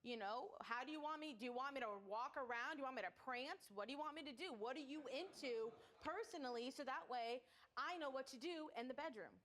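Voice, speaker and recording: High-voiced woman litigant, earnest and pleading. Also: a little fast, a bit bright. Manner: earnest, pleading, repeating her questions. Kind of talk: courtroom testimony.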